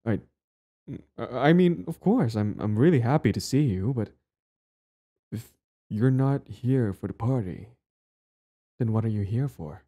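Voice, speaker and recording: A man's voice: mid-range voice, lively intonation, measured pace, long pauses, dark tone, husky. A young man acting a cocky, possessive and smitten bully in an audio roleplay spoken to the listener. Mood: flustered, eager. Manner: stammering, swaggering, profane.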